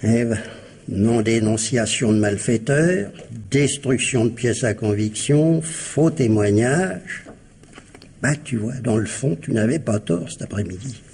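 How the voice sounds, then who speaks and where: An actor playing a blunt, needling policeman with a husky voice in a 1950s-style French radio crime drama, speaking familiarly and wryly. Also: mid-range voice, animated, unhurried, darkish tone, a little hiss or hum. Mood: wry, scolding.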